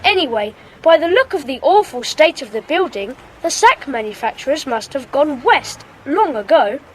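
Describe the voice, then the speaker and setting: A woman's voice: high-pitched, sing-song, moderate pace, slight background noise, rough recording. A woman playing a sensible, sceptical schoolboy in a detective gang, reasoning matter-of-factly in a children's audio drama. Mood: sceptical, musing.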